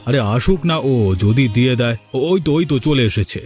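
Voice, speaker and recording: A man playing a reasonable, placating village headman in an animated folk-tale cartoon. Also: mid-range voice, brisk, natural voice. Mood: soothing.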